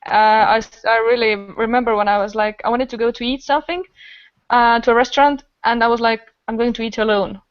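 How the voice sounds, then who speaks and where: A woman with an Estonian accent chats in a high voice with a smooth, clear tone. She tells stories casually and with enthusiasm. The recording is very dry, close and a little dull. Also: steady tone, fairly quick, no room sound. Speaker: podcast guest, improviser and festival organiser. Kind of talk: casual storytelling in group conversation.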